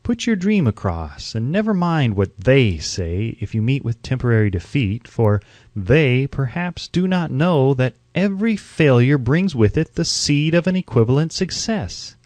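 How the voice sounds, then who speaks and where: A man narrating a self-help audiobook from a script in a measured, declarative, oratorical way. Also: mid-range voice, big pitch swings, darkish tone, dry recording.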